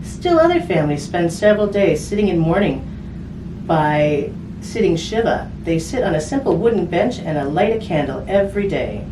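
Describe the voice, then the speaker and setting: A woman's voice: lowish voice, big pitch swings, normal speed. A woman reading a picture book aloud to children, addressing them directly and explaining things simply. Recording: distant mic, roomy echo, clear background noise.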